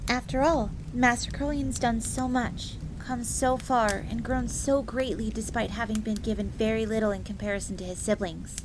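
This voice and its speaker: A woman's voice: fairly high pitch, normal speed, few pauses, lightly acted. A woman playing an earnest, devoted young fox maid in a scripted fantasy roleplay audio drama, bookish and speaking of herself in the third person. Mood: proud, devoted. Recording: steady ambient noise, crackling fire.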